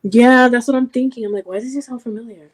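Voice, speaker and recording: A woman's voice: on the high side, steady tone, fast talker, a little mellow. A woman co-hosting a casual chat, reacting with short remarks and chatty asides. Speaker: podcast co-host.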